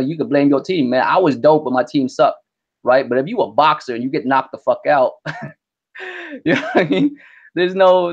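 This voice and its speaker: A young man from Vietnam, a Vietnamese native speaker, chatting as an interview guest in an animated, slangy way. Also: mid-range voice, breathy edge, muffled recording. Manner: animated, slangy, lots of "you know what I mean". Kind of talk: casual interview chat.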